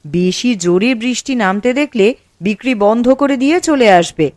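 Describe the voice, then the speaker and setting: A woman voicing a caring young village wife in a cartoon, speaking in a soothing, gentle way. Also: on the high side, lively intonation, fairly quick, dry recording, natural voice. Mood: calm.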